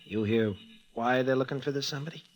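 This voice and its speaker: An actor with an American accent playing a slippery but lovestruck racetrack tout in old-time radio style, slangy and hesitant, with a husky voice. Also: male voice, medium pitch, quick pace, natural voice. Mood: guarded.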